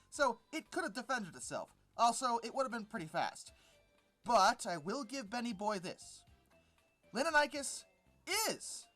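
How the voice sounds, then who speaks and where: An animated video host with a mid-range voice that swings widely in pitch. The commentary is informal, sarcastic and exasperated, with emphatic stress on key words. Music plays behind the voice. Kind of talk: informal reaction commentary on a read-out article.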